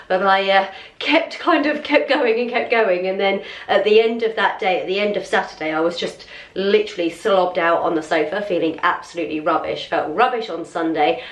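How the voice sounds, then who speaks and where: A young woman chats away while trying on clothes at home, gushing and doubling her words for emphasis, her nose a little blocked from a cold. She sits back from the camera, so her voice sounds distant and bounces around an echoey room. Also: on the low side. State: slightly stuffy from a cold. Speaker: lifestyle vlogger. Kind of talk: casual try-on and unboxing chat.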